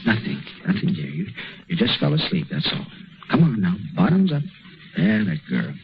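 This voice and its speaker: An actor playing an earnest, defiant young hospital doctor in 1930s radio drama style, giving urgent orders and gentle reassurance. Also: male voice, fairly low pitch, wildly swooping pitch, normal speed, gravelly, heavily acted. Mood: tender, soothing. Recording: muffled, noisy 1930s radio broadcast.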